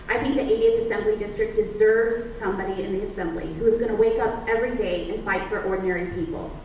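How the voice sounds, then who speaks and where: A woman's voice: medium pitch, slight background noise. A woman from Southern California giving a fluent, confident campaign speech at a public forum. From San Diego County, California. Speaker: political candidate. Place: public library forum room. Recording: echoey room, distant mic.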